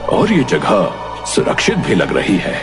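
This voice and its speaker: A man's voice: lowish voice, huge pitch swings, measured pace, gravelly, exaggerated voice. A man playing a furtive horse thief in a cartoon, muttering to himself. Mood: wary. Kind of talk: Hindi cartoon voice acting. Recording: long reverb, loud music behind.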